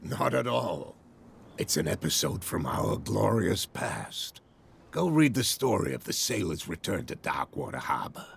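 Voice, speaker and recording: An assured sea captain in a video game. His voice is low, raspy and full of gravel, and he phrases things in a stately, courteous way. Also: sing-song, a little slow, heavily acted. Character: proud, unhurried male harbor captain, assured and courteous. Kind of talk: video game cutscene dialogue.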